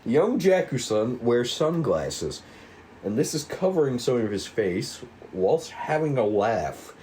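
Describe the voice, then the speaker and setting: A man's voice: mid-range voice, sing-song, slow pace, a little mellow. A man narrating a table read, reading stage directions aloud on a slightly noisy recording.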